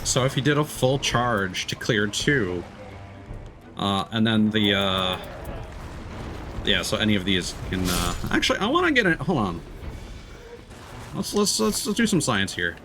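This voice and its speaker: A man's voice: on the low side, big pitch swings, a little slow, pauses often, pushed and bright, soft background music. A man giving casual live gameplay commentary as a streamer, thinking aloud as he muses through tactics. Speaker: video game streamer.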